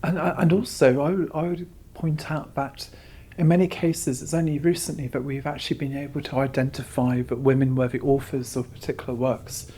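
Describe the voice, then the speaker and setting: A man's voice: medium pitch, fairly even intonation, normal speed, dark tone, breathy edge. A man speaking as a curator interviewee, explaining emphatically and repeating words as he goes.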